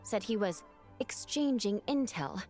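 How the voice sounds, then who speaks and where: A woman voicing a composed, dutiful knight commander in a video game, polite and measured. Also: mid-range voice, expressive, a little mellow, soft background music. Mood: composed, courteous.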